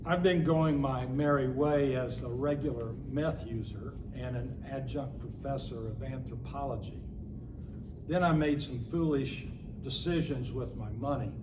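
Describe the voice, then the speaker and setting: A man's voice: medium pitch, natural voice. A man playing a wry homeless drug user and academic of thirty-four in a readers theatre reading. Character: wry 34-year-old homeless man, meth-using adjunct professor. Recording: echoey room with clear background noise.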